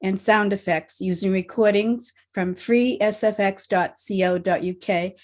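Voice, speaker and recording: A woman's voice: medium pitch, fairly even intonation, unhurried. A woman working as an announcer, reading scripted closing credits for a radio play. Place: remote video-call recording.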